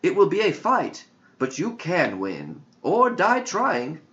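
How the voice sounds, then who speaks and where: Man playing a firm, wise woman mentor, lively pitch. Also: slow pace, exaggerated voice. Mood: challenging. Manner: instructive, probing, direct. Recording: dull lo-fi audio.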